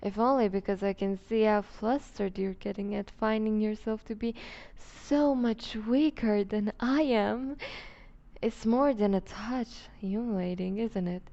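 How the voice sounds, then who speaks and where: Creaky, slow-talking seductive vampire duchess, played by a woman. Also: medium pitch, dark tone, highs cut off. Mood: amused, possessive. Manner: velvety teasing, condescending, unhurried, often dropping to whispers. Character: seductive, dominant vampire duchess, predatory and possessive. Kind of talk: ASMR roleplay, intimate scripted monologue.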